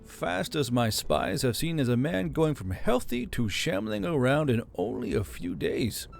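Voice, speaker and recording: A man voicing a kindly, chatty older male elf governor with a cheerful, gently teasing manner. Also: mid-range voice, sing-song, unhurried, vocal fry, soft background music. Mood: grim.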